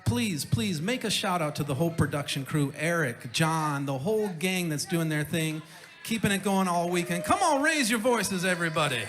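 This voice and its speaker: A man's voice: a little high, expressive, moderate pace, a bit bright, faint music behind. A man speaking informally and earnestly from a festival stage, rallying the crowd and repeating words for emphasis. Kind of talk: informal live crowd address.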